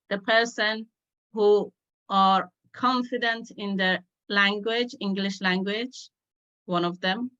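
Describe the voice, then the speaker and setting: Slow-speaking woman. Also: mid-range voice, a bit bright, muffled recording. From Afghanistan. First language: Dari. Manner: measured, with frequent uh pauses. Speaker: guest speaker. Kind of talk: personal talk on a video call.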